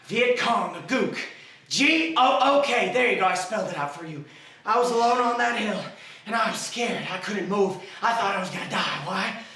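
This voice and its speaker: A ranting, unhinged young war veteran. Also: male voice, high voice, lively intonation, normal speed, far from the mic, exaggerated voice. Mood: haunted. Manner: ranting, swinging between broken murmurs and yelling. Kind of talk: dramatic film acting. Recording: echoey room, voice from off-mic.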